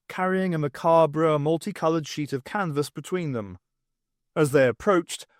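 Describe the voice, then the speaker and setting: A man's voice: a little high, no echo, studio quality. A man reading scripted, dramatic narration as an audiobook narrator.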